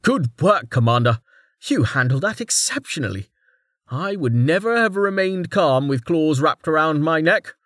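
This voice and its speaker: A man voicing a friendly comrade who offers warm praise, slightly above his usual pitch. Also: animated, moderate pace, dry recording, studio quality. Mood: cheery.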